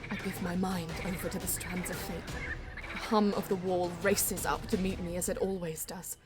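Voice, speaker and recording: A woman's voice: lowish voice, fairly even intonation, moderate pace, lightly acted. Intense elven seer, played by a woman, over music. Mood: solemn, focused. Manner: solemn, theatrical, urgent. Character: mystical female alien elf seer, intense and driven. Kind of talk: dramatic first-person audio drama narration and dialogue.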